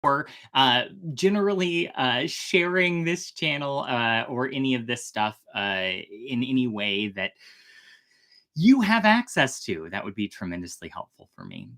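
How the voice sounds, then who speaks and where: An expressive storytelling host talks casually to viewers. The voice sits at a middling pitch and swings widely up and down, with a slightly theatrical delivery. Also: a little slow. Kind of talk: casual livestream chat.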